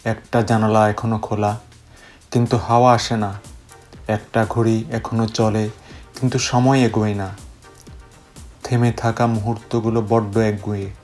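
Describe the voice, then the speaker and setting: A man recites poetry as spoken word in a measured, solemn and wistful way. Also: fairly low pitch, level tone, darkish tone. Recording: music bed under the voice. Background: background music.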